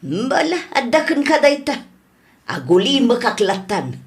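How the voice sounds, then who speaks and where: A woman's voice: a little high, very animated, unhurried. A woman playing a scolding older mother of a grown son in an Ilocano radio drama, with an Ilocano accent.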